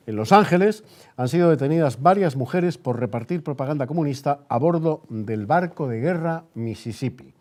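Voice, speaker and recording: A man's voice: on the high side, dark tone. A man with an accent from Spain, hosting on radio in the manner of a news presenter. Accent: Spanish, from Spain. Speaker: radio host. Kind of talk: period radio news bulletin reading, then studio interview.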